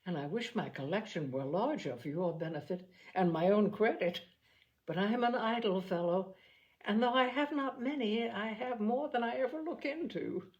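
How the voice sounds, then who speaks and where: A woman voicing an amiable, easygoing, self-deprecating young gentleman in an audiobook, with a cheerful, earnest manner. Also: medium pitch, moderate pace, dull audio, natural voice. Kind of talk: audiobook character acting.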